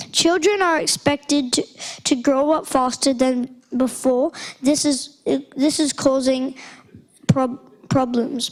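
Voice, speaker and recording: A woman reading a speaker introduction at a conference, halting and stumbling over words. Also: high voice, low-quality recording. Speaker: event presenter introducing speaker.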